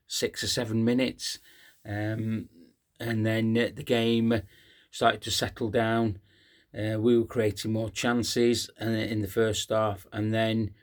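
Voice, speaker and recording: A hoarse man, hosting a casual post-match fan talk, halting with many ums and uhs. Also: on the low side. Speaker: fan channel host.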